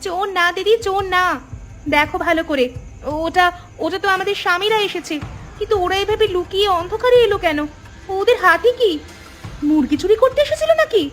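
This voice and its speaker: A woman's voice: extremely high pitch, lively intonation, a little fast, pauses often, faint music behind. A woman voicing an outspoken, hardworking young village woman in a cartoon, earnest and emphatic. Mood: shocked, accusing. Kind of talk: cartoon dialogue.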